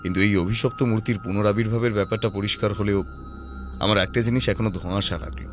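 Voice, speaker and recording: An actor playing a shrewd, composed male detective who reasons in a deliberate, analytical way in an audio drama. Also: on the low side, steady tone, music in the background, natural voice. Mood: thoughtful, puzzled.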